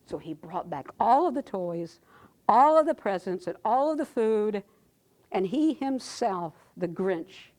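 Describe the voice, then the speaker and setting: A woman reciting a children's story in a theatrical way, with dramatic pauses and drawn-out emphasis. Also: medium pitch, sing-song, a little mellow.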